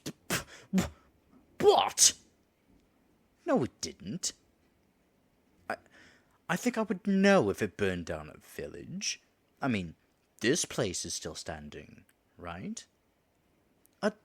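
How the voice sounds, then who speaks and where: A man's voice: medium pitch, exaggerated voice. A man voicing a flustered, flirtatious dragon in human form in an audio roleplay, stammering nervously and muttering asides to himself. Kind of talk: audio roleplay addressed to the listener.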